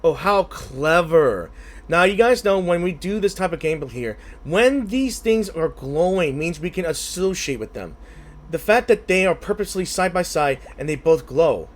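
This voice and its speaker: A man's voice: fairly high pitch, expressive, normal speed. A man hosting a gameplay video, commenting casually and thinking aloud with informal interjections. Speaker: gaming video host.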